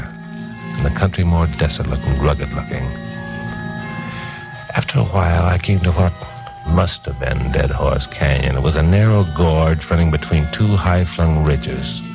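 A low-pitched American tough-guy investigator from a 1950s radio drama. He is wry, laconic and a little flirtatious, speaking in hard-boiled style over prominent music. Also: normal speed, gravelly. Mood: tense, hard-boiled. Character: wry, flirtatious tough male investigator, narrating his own adventure. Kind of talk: 1950s radio drama acting and first-person narration.

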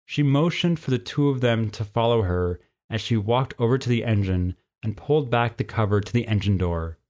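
A man's voice: lowish voice, expressive, normal speed, a little mellow. A man reading a story aloud as narrator in a scripted storybook style.

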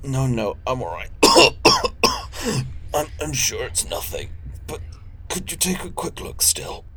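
A man plays an ailing, stubborn male leader in a sci-fi audio drama. His delivery is halting and formal, weakened by illness, with a pitch that swings widely over some background noise. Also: very animated, sharp tone, full character voice. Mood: uneasy, reluctant. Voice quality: coughing, weak. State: ill, coughing. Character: sick, stubborn older male time-travel crew leader.

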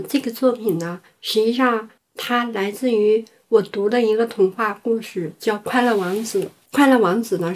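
Woman from China, medium pitch, interviewed artist, spontaneous explanations, occasional English words, self-rephrasing. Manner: mixes in English words, rephrases as she goes. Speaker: performance artist being interviewed.

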